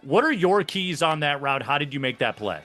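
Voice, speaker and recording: A man's voice: on the high side, big pitch swings, brisk, pushed and bright. A man hosting a sports interview show, with a polished, upbeat broadcaster delivery. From mainland United States.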